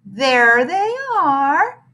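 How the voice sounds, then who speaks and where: A woman voicing a glamorous, flirtatious librarian in a storybook, cooing and purring in a drawn-out, breathy way. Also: sits high, big pitch swings, pushed and bright, voice pushed up, heavily acted.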